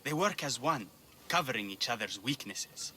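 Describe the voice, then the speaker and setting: An actor playing a bitter, grieving brother bent on vengeance in a video game, solemn and intense. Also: male voice, medium pitch, lively intonation, moderate pace, bright, forceful tone, a little hiss or hum. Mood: mournful.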